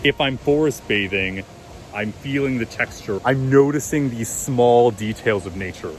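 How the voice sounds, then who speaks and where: A man with a deep voice giving relaxed, encouraging meditation advice in a casual vlog. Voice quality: deep, low voice. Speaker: vlogger and meditation coach. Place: outdoors at a loud waterfall. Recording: outdoor recording with loud waterfall noise. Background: rushing waterfall.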